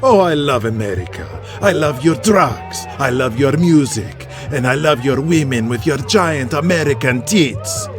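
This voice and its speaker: An actor playing a boastful, arrogant villain wrestler who speaks in broken English in an audio drama. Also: male voice, medium pitch, lively intonation, a little slow, few pauses, music in the background. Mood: leering gloating.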